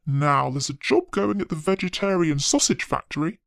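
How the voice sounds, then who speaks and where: A woman's voice: low voice, normal speed, gravelly, no room sound, clean studio sound, voice pushed down. A woman voicing a practical male farmer in a children's story, in a businesslike manner.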